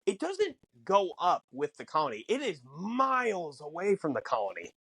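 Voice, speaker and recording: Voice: medium pitch, wildly swooping pitch, moderate pace, a bit bright, husky. A podcast co-host in casual fan discussion, musing and posing questions aloud.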